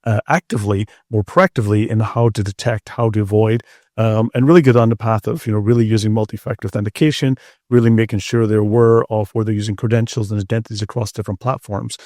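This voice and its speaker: A man's voice: lowish voice, steady tone, fast talker, dark tone, no echo, studio quality. A middle-aged man speaking as an expert guest, explaining things in a conversational interview. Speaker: expert guest, security researcher.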